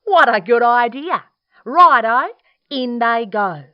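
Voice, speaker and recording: A woman voicing a boastful, gleeful male dingo in a children's storybook, with excitable, singsong delivery. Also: mid-range voice, slow pace, dull audio, natural voice.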